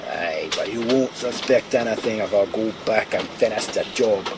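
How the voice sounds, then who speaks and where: A man's voice: on the low side, moderate pace, natural voice. An actor playing a violent, unhinged Scottish henchman with a Scottish accent in a comic audio drama. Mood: savage eagerness. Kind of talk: audio comedy drama acting. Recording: clear vehicle noise behind.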